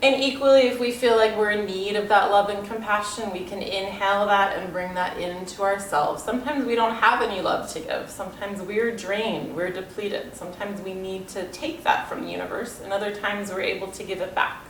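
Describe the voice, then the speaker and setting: A woman in her thirties guiding a yoga class in a calm, unhurried way, sounding a little congested and nasal. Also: mid-range voice, a little hiss or hum. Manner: calm, unhurried instruction with soft trailing phrases. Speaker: yoga teacher. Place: room with yoga mat, indoors. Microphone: far camera. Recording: echoey room, mic at a distance.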